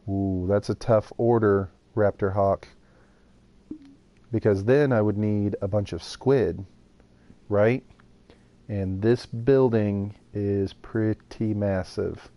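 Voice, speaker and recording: Man, game streamer, slow and unhurried, low warm voice, thinking out loud. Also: fairly even intonation, no echo. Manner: thinks aloud while building, talks to chat. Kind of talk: casual live gameplay chat.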